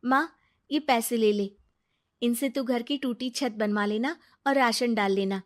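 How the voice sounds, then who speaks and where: A woman's voice: on the high side, a little slow, natural voice. A young woman playing a dutiful, kind daughter in a cartoon family story, speaking earnestly. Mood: gentle, assured. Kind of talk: Hindi cartoon dubbing.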